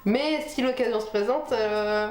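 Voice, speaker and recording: A woman's voice: on the high side, unhurried, darkish tone. A woman with a head cold chatting informally through a casual makeup tutorial as a beauty vlogger, talking while she works. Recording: roomy echo with music behind.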